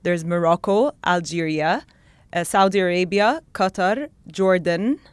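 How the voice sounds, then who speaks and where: A woman's voice: lowish voice, measured pace, clean tone, dry recording. Woman guest with a close, dry sound. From Egypt. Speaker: guest sports commentator and content creator. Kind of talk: conversational interview answers, informal analysis.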